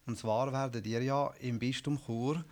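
A man's voice: mid-range voice, fairly even intonation, measured pace, darkish tone. A man, interviewing a guest on local radio in a formal, polite way.

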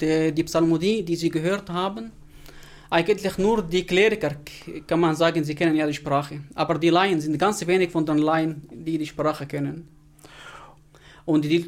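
Halting man, native Arabic speaker. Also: a little high. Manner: halting, many fillers and restarts. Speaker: interview guest. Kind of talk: hesitant interview answers.

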